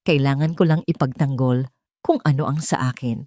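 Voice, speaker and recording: A woman's voice: rumbling low, normal speed, mellow, dry recording, clean studio sound, pitched below the performer's own voice. A woman voicing a scheming, self-justifying female villain in an audio story, in a resolute inner monologue. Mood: cold, defensive.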